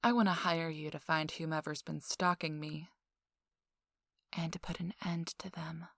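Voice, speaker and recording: Feisty young socialite played by a woman for ASMR audio drama: lowish, creaky, sly, self-assured, close and intimate. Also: level tone, a bit bright, no room sound, full character voice. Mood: wry, confiding. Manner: slow, teasing, dry sarcasm, drifting into whispers.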